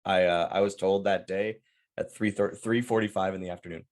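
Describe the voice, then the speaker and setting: A man's voice: sits low, steady tone, brisk. A man answering interview questions in a plain, matter-of-fact way.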